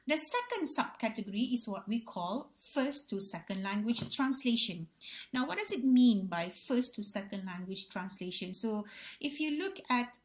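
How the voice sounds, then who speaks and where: A woman from Malaysia, a native Malay speaker, giving a recorded university revision lecture in English with a Malaysian accent, talking deliberately and repeating key phrases for her students. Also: mid-range voice. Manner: deliberate, repeats key phrases, addresses audience as ladies and gentlemen.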